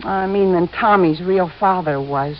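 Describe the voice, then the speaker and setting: An actress playing a young working-class widow, a defensive gun-moll type, slangy and halting, in 1950s radio drama style. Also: female voice, on the low side, slight background noise, close to an everyday voice. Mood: defensive, wistful. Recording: muffled old radio broadcast.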